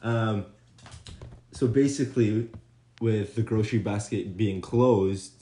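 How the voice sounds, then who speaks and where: A man's voice: on the low side, fairly even intonation, slow pace, mellow. A man co-hosting a casual podcast chat, rambling and hesitant with many restarts. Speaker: podcast co-host.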